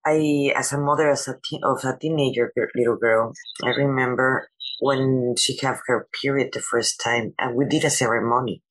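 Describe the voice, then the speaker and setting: A woman with a non-native English accent hosting a conversational interview, halting and rephrasing as she goes. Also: a bass voice, fairly even intonation, low-quality recording. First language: not English. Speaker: host, interviewer.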